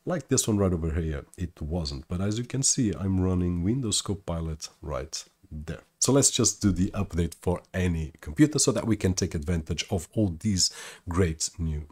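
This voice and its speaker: A man in his thirties talks through a computer tutorial with a low, deep voice. He explains each step in a steady, upbeat way, like a tech YouTuber at his desk. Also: moderate pace, breathy edge. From Portugal. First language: Portuguese. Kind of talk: tutorial walkthrough. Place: room indoors at a desk.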